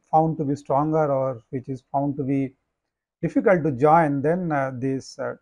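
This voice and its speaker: Measured male professor, dry close voice. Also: on the high side, darkish tone, dry recording. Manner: measured explaining with frequent "uh" and "you know". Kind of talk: slide lecture.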